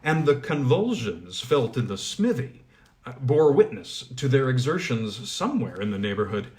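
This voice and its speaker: A man narrating a fairy tale aloud in a measured, expressive reading. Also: medium pitch. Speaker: audiobook reader. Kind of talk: storybook narration.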